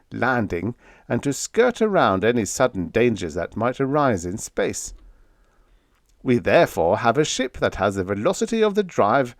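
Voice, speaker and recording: Rocket company chief, played by a male reader: persuasive, rallying, lively pitch movement, sci-fi audiobook. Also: dry recording, clean studio sound. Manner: explanatory, rallying speechmaking. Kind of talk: audiobook character dialogue.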